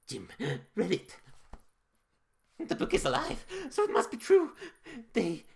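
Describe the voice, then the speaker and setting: A man's voice: on the high side, vocal fry, heavily acted. A man voicing an anxious, bookish, earnest male character in a dramatic, urgent amateur story reading.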